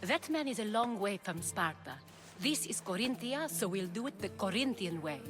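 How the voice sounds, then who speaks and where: A woman's voice: mid-range voice, lively intonation, moderate pace, music in the background, close to an everyday voice. An actress playing a proud, shrewd woman of influence who speaks with assured, dramatic delivery in a video game cutscene. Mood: cool, resolute. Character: commanding, shrewd, proud female Corinthian power broker.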